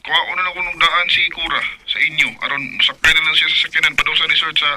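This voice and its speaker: A friendly, helpful Filipino younger brother, acted by a man in a radio drama. His voice is fairly high and casual, heard through a clearly noisy, radio-like recording. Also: fairly even intonation, fast talker, lightly acted. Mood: friendly, practical. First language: Cebuano. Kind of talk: Bisaya radio drama acting. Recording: noisy, radio-like phone call with clicks.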